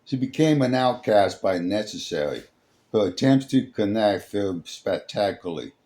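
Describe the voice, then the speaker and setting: Low, mellow male storyteller. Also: expressive. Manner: halting, stumbling over words while reading. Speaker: storyteller, host. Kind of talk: dramatic scripted story narration.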